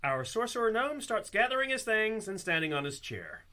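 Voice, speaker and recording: A man's voice: sits high, very animated, moderate pace, bright, forceful tone, exaggerated voice. A man acting as the wry narrator of a fantasy comedy radio play, reading scripted scene descriptions. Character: wry male narrator of a tavern fantasy tale. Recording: clearly noisy background.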